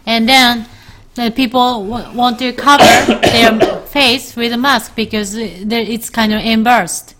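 A Japanese woman chatting casually in halting English, with Japanese as her first language, laughing often. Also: a little high, steady tone, fairly bright tone, slight background noise. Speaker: co-host. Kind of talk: casual chat.

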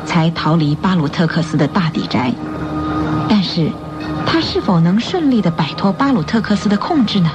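A woman with a slightly low voice narrates a dramatic story for radio. She is measured and expressive. The recording is dull, with music clearly playing behind her.

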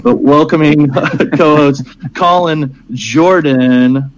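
A man's voice: a little high, slow, lo-fi sound, a little hiss or hum. A man hosting a casual podcast, teasing his co-hosts and laughing often. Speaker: podcast host. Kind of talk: casual chat.

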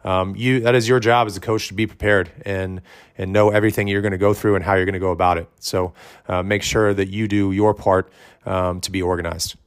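Lowish-voiced guy, loud and blunt, lots of um and restarts, casual podcast talk. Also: fairly even intonation, fairly quick, smooth. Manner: loud, direct, with many ums and restarts. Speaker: fitness coach and podcast host. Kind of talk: casual podcast advice monologue.

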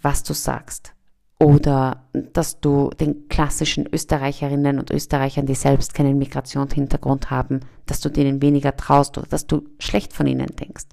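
A woman's voice: deep voice, creaky, mellow. A young woman, raised in Austria after being born in Iran, hosting a podcast and giving an earnest motivational talk aimed straight at the listener. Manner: earnest, speaks directly to the listener. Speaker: podcast host.

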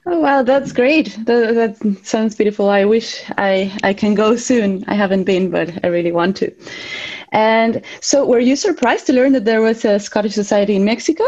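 A woman's voice: moderate pace, darkish tone. A woman hosting a podcast interview, asking questions in a friendly, curious way. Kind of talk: conversational interview. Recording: muffled, low-fidelity audio.

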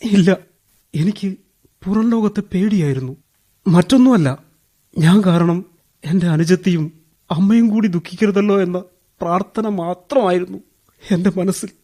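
A high, anguished male voice for a radio drama. He is a wronged former prisoner, embittered and heavy-hearted, and he tells his story haltingly with raw emotion. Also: dark tone. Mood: sorrowful. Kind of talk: radio drama acting, dramatic first-person narration.

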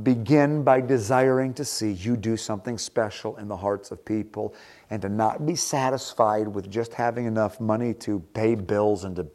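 Male pastor, low voice, emphatic and earnest, repeats phrases for stress. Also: darkish tone. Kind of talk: sermon with closing prayer.

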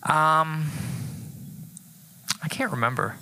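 A man's voice: a little high, slow, pushed and bright. A man co-hosting a podcast in casual chat, laid-back, with many fillers and offhand swearing. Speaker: podcast co-host and musician.

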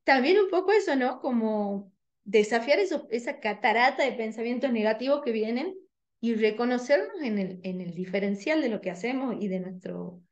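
A woman's voice: expressive, moderate pace, dull audio. A woman with a Tucumán Argentine accent, co-hosting a radio podcast and speaking in a sincere, reflective way. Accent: Tucumán Argentine Spanish. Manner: sincere, reflective, self-described high-pitched voice. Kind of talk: casual radio chat.

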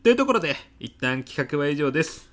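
A man acts out a middle-aged male office manager in a comedic radio drama. He speaks in polite business language with an earnest, theatrical delivery. His presenting style borrows the girlish manner of a young woman. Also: fast talker. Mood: polite, businesslike. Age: 50s. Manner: polite business speech, theatrically expressive pitching. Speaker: ad agency department manager giving a presentation.

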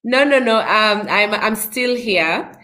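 A woman's voice: medium pitch, lively intonation, slow pace, bright, forceful tone. A Kenyan woman hosting a podcast interview over a video call, with upbeat, speech-like introductions. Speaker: podcast host. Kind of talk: live online interview.

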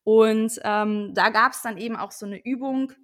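A woman's voice: a little high, fairly even intonation, a little slow, a bit bright. A woman in her late twenties, a guest on a podcast, explaining her business in a chatty way with frequent fillers. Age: 20s. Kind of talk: casual interview chat.

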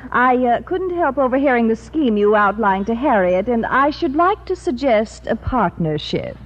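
An actress playing a vain, haughty, well-to-do teacher in an old radio comedy, sugary, affected and cutting. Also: female voice, on the high side, sing-song, moderate pace. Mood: coolly scheming. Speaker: supporting actress in a radio sitcom. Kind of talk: 1940s-50s American radio comedy acting. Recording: muffled old-time radio recording.

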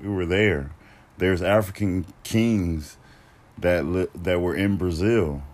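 Deep-voiced, laid-back man co-host. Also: slow pace, darkish tone. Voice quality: deep, bass-heavy voice. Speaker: podcast co-host. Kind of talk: casual chat.

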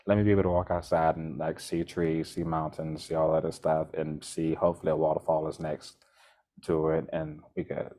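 A man's voice: very deep voice, a little fast. A man co-hosting a podcast, talking casually in a rambling way with bursts of energy. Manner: rambling, restarts often, animated bursts. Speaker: podcast co-host.

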